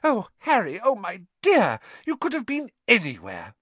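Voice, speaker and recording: A man voicing a warm but bossy middle-aged mother in a storybook reading, fretful, scolding and sharp-tongued. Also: medium pitch, wildly swooping pitch, normal speed, husky, no echo, full character voice. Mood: frantic relief.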